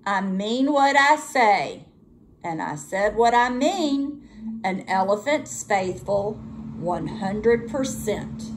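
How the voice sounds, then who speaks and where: A woman voicing an earnest, steadfast, gentle male elephant in a children's storybook, with measured, emphatic declarations. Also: medium pitch, expressive, slight background noise, rough recording. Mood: resolute. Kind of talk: children's storybook read-aloud.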